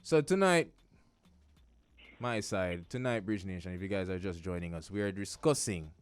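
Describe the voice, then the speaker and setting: A man's voice: sits low, normal speed, clear, resonant tone. A man with a Jamaican accent hosting a radio talk show from a studio, joking and chatty, full of slang. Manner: lively, joking, animated patter with slang.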